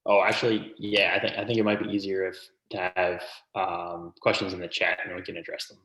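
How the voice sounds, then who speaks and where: Deep flat-voiced male moderator. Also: brisk, reverberant, low-quality recording. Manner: hesitant, filler-heavy. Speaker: webinar moderator. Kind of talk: moderating a panel. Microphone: video call.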